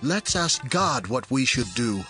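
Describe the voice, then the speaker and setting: An actor playing an earnest, devout and protective rebel leader in a dramatized scripture audio production. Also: male voice, mid-range voice, big pitch swings, measured pace, pushed and bright, faint music behind. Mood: prayerful. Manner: earnest, imploring.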